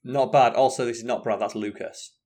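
A man's voice: medium pitch, steady tone, a little fast, darkish tone. An English man co-hosting a live stream from home, chatting casually in a rambling way and swearing freely. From England. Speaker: co-host.

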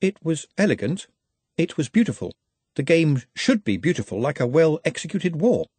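A man's voice: fairly high pitch, very animated, normal speed, a little mellow, breathy edge, dry recording, voice pushed up. A man voicing a meek, learned little male creature with a small, earnest, formal voice, in an audiobook. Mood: earnest, humble. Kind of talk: audiobook character acting.

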